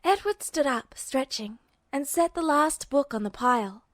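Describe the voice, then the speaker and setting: A woman's voice: sits high, lively intonation, measured pace, dry recording, studio quality. A woman reading scripted fiction aloud as an amateur audiobook narrator.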